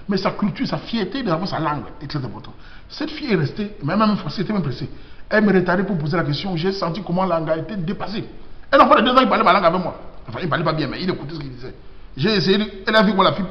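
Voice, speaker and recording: Cameroonian guy preaching fast n emphatic, kinda high voice, echoey muffled room. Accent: Cameroonian French. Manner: emphatic, preachy, rhetorical questions. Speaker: vlogger. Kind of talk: impassioned monologue.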